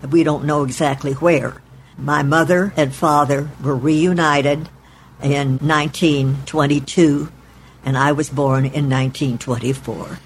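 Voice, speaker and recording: A woman in her eighties from Oklahoma, recalling her family's past in a measured, deliberate way in her apartment. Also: sits low, fairly even intonation, slight background noise, poor audio. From Oklahoma, United States. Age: 80s. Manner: measured, deliberate, spells out names letter by letter. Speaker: oral history interviewee. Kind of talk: recorded oral history recollection. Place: her apartment in a retirement home.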